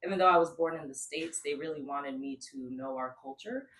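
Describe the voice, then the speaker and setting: A woman's voice: low-pitched, a little fast. A young woman from New York talks as a guest on a casual podcast. She tells her story in a self-assured way. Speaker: guest TV writer.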